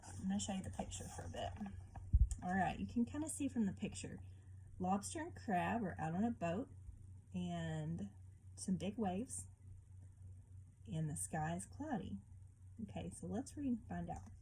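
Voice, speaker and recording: A woman hosting a devotional read-aloud for children, talking casually and explaining gently like a teacher. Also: on the low side, animated, normal speed, long pauses, rough recording. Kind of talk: casual devotional talk and story reading. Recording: clear background noise with clicks.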